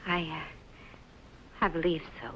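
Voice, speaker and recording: A woman's voice: sits low, little pitch movement, takes it slowly, a bit bright, exaggerated voice. An actress playing a composed, gracious titled lady, polite and careful in an old-time radio drama. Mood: hesitant. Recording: dull old radio recording with music.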